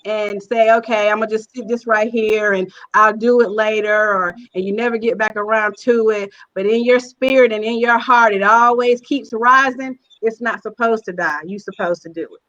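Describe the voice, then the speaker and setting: A woman with a fairly high voice speaks as a panel guest. She gives earnest advice in a conversational way, repeating phrases to drive them home. The sound is a bit dull and lo-fi. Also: steady tone, normal speed, few pauses, fairly bright tone. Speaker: guest, stage play writer-director.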